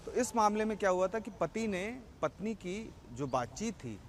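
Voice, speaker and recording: Higher-pitched male correspondent, background noise. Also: sing-song, moderate pace. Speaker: senior news correspondent. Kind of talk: live explanatory reporting.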